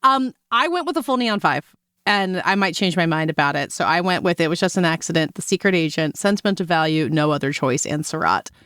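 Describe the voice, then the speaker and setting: A woman's voice: fairly low pitch, animated, brisk, a bit bright, smooth, dry recording. Chatty woman co-host, close dry sound. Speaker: podcast co-host. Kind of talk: casual film awards discussion.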